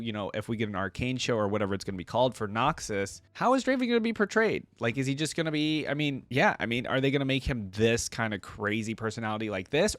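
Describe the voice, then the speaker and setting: A man's voice: medium pitch, no echo. A man giving casual, conversational reaction commentary to viewers, thinking aloud in a rambling way. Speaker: video creator reacting to game lore.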